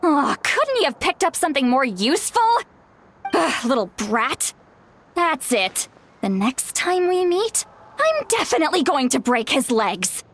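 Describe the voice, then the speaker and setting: A woman's voice: high voice, sing-song, measured pace, fairly bright tone, slight background noise, exaggerated voice. A woman voicing a hot-tempered but caring old granny in a video game, scolding in an exasperated, emphatic way. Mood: angry scolding. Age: older.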